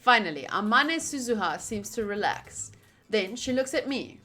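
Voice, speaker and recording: A woman's voice: on the high side, big pitch swings, normal speed, bright, forceful tone, soft background music. A woman with an American accent, playful and theatrical, reading a visual novel aloud and chatting as she streams. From United States.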